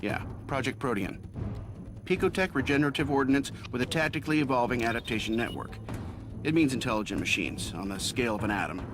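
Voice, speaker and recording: An actor playing a wisecracking genius billionaire hero who speaks in sarcastic quips. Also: male voice, mid-range voice, quick pace, music in the background, natural voice. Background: game score.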